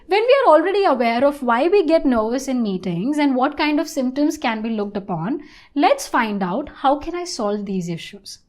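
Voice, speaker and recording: Woman, high voice, online tutor, scripted instructional narration, deliberate emphatic phrasing. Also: lively intonation, a little mellow. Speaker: online English lesson presenter.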